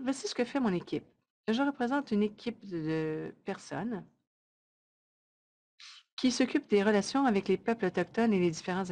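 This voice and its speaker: A woman interpreting a webinar presentation live, in measured, halting short phrases. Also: fairly low pitch, darkish tone.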